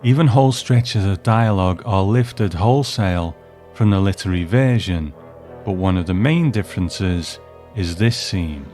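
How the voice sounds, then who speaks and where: Guy hosting a scripted review, lowish voice, soft music bed. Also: normal speed. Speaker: host.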